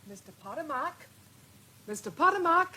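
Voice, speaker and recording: A woman's voice: high-pitched, very animated, normal speed, bright, forceful tone, heavily acted. An actress playing a fussy, motherly, old-fashioned housekeeper with a British accent in a radio drama. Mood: deferential. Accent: British English. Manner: deferential yet scolding.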